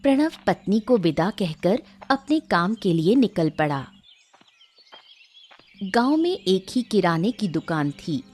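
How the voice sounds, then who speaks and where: A woman's voice: medium pitch, sing-song, takes it slowly, darkish tone. A woman narrating a scripted moral story as a storyteller. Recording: music behind the voice.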